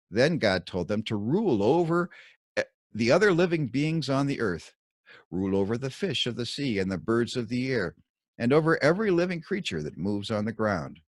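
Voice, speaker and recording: A man reading his own scripted Bible commentary as narration, in measured, deliberate short phrases. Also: medium pitch, dry recording, clean studio sound.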